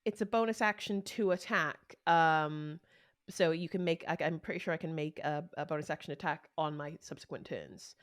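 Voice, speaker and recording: A woman's voice: low voice, normal speed. A woman casually playing a tabletop game, searching for words as she describes her character's actions. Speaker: player.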